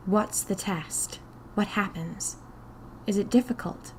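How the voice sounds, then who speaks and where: A woman's voice: medium pitch, takes it slowly, darkish tone, slight background noise, natural voice. A woman voicing a timid, frightened young woman drawn into a magical society, questioning uneasily in first-person audio fiction. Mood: uneasy.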